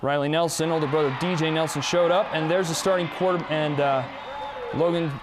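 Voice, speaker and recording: A young American man narrating high school and college sports highlights with an energetic, punchy delivery. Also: a little fast, background noise. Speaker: sports reporter intern.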